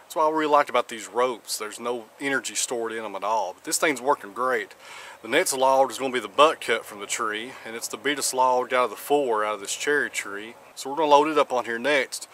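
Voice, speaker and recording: A practical man in his thirties, talking at an ordinary mid pitch as he walks through how his tools work. He explains step by step in a plain, down-to-earth way. He is recorded outdoors beside a road on a camera mic. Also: brisk, a bit bright, a little hiss or hum. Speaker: channel host.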